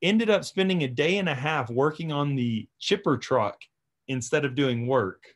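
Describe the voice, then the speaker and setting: A man's voice: on the high side, normal speed. A man who runs a tree service, chatting casually and telling stories from work. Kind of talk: casual chat, storytelling from work.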